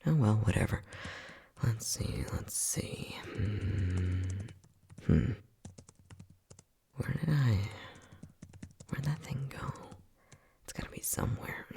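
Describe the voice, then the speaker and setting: A man acting as an unhinged, playful and casually cruel scientist in an ASMR roleplay, flippant and sardonic, with a husky voice that often drops near a whisper. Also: on the low side, animated, takes it slowly, long pauses, dark tone, heavily acted. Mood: distracted, exasperated. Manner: flippant, sardonic, mock-soothing, muttering.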